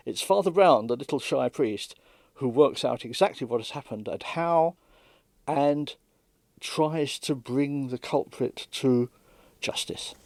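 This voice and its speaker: A man giving measured, explanatory interview commentary as a radio dramatist, close to the microphone. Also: mid-range voice, darkish tone, no room sound.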